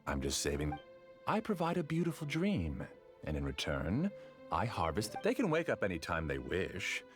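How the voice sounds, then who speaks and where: An actor playing an obsessed, grieving scholar in a video game, theatrical and smoothly self-righteous as he justifies himself. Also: sits low, huge pitch swings, moderate pace, soft background music. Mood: calm justifying.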